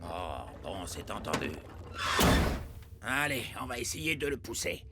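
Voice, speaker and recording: A man's voice: on the low side, big pitch swings, unhurried, heavy background noise. An actor playing a pompous, self-important male elf in a cartoon. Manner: pompous, theatrical. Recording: cartoon soundtrack with music behind.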